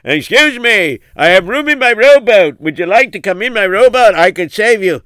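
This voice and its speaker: A man plays a courteous rescuer in a rowboat in a spoken story. He is eager and polite, with a raised, bright, clear voice. The sound is dry. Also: high-pitched, animated, normal speed, no echo, voice pushed up.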